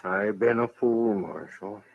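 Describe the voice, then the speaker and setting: A man's voice: fairly low pitch, creaky, no echo, heavily acted. An actor playing a blunt, hot-headed, grumbling cattleman in a 1950s western radio drama. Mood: contrite. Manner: blunt, grumbling, quick to threaten. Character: hot-headed, stubborn cattleman turned grudging hotel owner. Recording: dull vintage radio recording.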